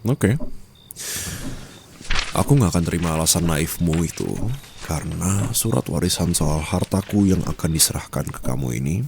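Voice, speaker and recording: A man's voice: normal speed, pauses often, noisy background. A man playing a dominant, menacing rich husband in an ASMR roleplay, murmuring taunts intimately and slipping into whispers and English endearments. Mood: cold, knowing. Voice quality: very deep, gravelly. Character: dominant, menacing wealthy new husband toying with treacherous bride.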